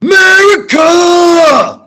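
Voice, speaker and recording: A young actor playing an overexcited soldier in a stage radio play, shouting and whooping brashly. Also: extremely high pitch, extremely slow, exaggerated voice. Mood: rowdy.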